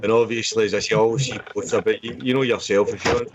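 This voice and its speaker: A man's voice: fairly low pitch, steady tone, rapid-fire, highs cut off, background noise. A Scottish man chatting casually and at length as a writer on a panel, circling back to stock phrases. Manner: rambling, repeats stock phrases. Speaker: indie horror author and anthology publisher. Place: remote group video chat.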